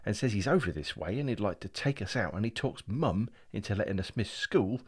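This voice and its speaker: A man voicing an excitable schoolboy brother who gushes breathlessly in an audiobook story. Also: lowish voice, fairly quick, breathy edge. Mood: excited. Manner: gushing, breathless boasting.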